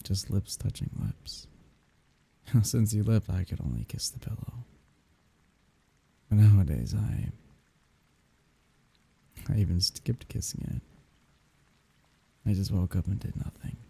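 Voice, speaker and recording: Man acting a husky, dark-voiced grieving lover. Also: low voice, animated, full character voice. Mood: wistful. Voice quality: husky, breathy, often dropping into whispers. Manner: halting, slow, tender. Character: grieving man saying farewell at his dead lover's grave. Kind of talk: intimate ASMR roleplay monologue.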